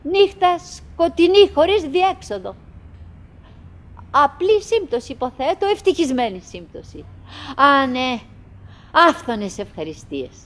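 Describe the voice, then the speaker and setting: An actress playing a wistful, chattering middle-aged wife in a theatrical radio monologue, in broken, repetitive phrases with dramatic pauses. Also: female voice, sits high, sing-song. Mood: weary, musing. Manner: fragmented, repetitive, dramatic pauses with sudden swells.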